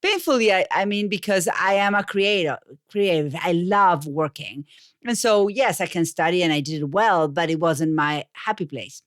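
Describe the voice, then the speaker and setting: A woman's voice: on the low side, normal speed, no echo, clean studio sound. A woman in her forties with an Argentine Spanish accent, telling her story conversationally as an interview guest. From Buenos Aires, Argentina. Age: 40s. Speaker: podcast guest, communications coach.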